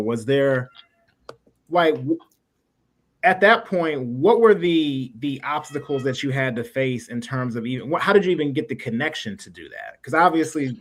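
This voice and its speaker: A man hosting a casual interview, rambling his way into his questions. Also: mid-range voice. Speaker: host, interviewer.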